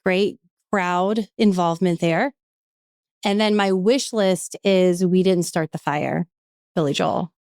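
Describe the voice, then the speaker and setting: A woman guest on a podcast, explaining her work in a composed, clear way. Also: on the low side, takes it slowly, darkish tone, no echo, studio quality. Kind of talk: casual podcast interview.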